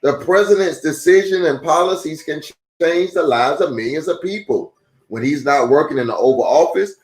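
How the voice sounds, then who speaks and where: An echoey, roomy recording carries a man's voice as he reads a children's book aloud. His pitch moves in a lively way, and his phrasing is deliberate and a little halting, in an informal storytime style. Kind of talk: read-aloud storytime with casual asides.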